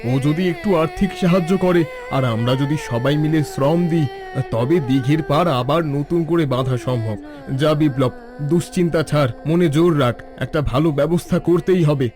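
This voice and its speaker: A man's voice: fairly high pitch, a little fast, over music, lightly acted. A man voicing a wise, encouraging male village schoolteacher in a cartoon, speaking in a fatherly way. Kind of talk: cartoon voice acting.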